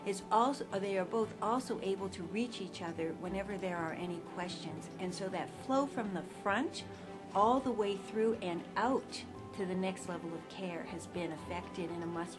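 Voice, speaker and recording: A woman's voice: medium pitch, normal speed. A woman in hospital management giving an explanatory testimonial interview. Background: music.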